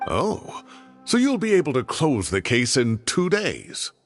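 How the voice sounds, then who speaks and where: An actor playing a fussy, indignant retired professor of advanced age, grumbling and theatrical, in a game scene with faint music. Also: male voice, on the high side, huge pitch swings, measured pace. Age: older.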